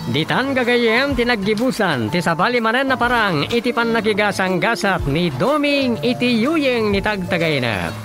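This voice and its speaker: A man's voice: high-pitched, big pitch swings, moderate pace, over music. A man with an Ilocano accent narrating the close of a radio drama in an announcing way. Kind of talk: scripted narration.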